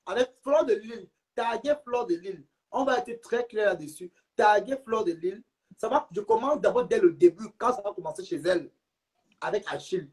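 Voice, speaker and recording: A man's voice: high-pitched, lively intonation, normal speed, rough recording. A man hosting a live stream, talking insistently and repeating his points while urging viewers to share. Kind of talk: heated live stream chat.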